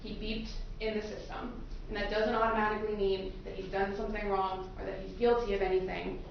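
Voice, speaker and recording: A woman's voice: mid-range voice, level tone, a little fast, creaky. A woman working as a defense attorney, questioning prospective jurors in a courtroom in an informal, conversational, explanatory way. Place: courtroom, into a microphone. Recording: echoey courtroom audio, muffled, noisy.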